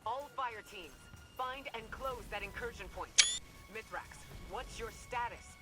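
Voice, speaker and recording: A woman's voice: on the high side, measured pace, natural voice. A woman playing a commanding military leader in a video game, giving urgent orders with authority. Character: commanding adult female military leader, composed but urgent. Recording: loud music under the voice.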